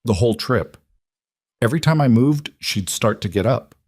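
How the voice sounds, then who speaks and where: A man's voice: medium pitch, lively intonation, normal speed, darkish tone, husky, dry recording, studio quality. A man voicing a guarded, weary, candid woman executive in a narrated story.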